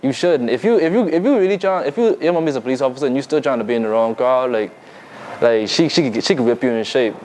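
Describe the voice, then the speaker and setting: A young man from the Bahamas with a Bahamian accent, talking casually as a music artist being interviewed, relaxed and full of fillers and restarts. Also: mid-range voice, fast talker, a little hiss or hum. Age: young adult. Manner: laid-back, loose, lots of fillers and restarts. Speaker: musician guest.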